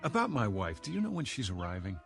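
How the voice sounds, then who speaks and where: A man's voice: fairly low pitch, wildly swooping pitch, fast talker, gravelly, a bit bright, exaggerated voice. An actor playing an anxious salesman in his thirties recovering from a breakdown, hesitant and stammering, in a radio drama. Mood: nervous, eager. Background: background music.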